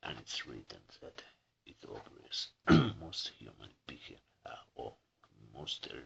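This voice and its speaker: A husky-voiced man who keeps clearing his throat, reading a book aloud haltingly for his video channel and stumbling over words. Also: extremely low pitch, wildly swooping pitch, a bit bright, dull audio, a little hiss or hum. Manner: halting reading with restarts and slips. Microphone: camera mic some distance away.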